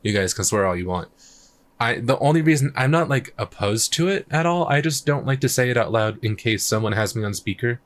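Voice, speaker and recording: A man chatting casually on a live stream, thinking out loud as he works on his music, his voice a little croaky. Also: mid-range voice, lively intonation, fairly quick. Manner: rambling, thinks aloud while working. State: slightly croaky voice. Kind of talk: casual livestream chat.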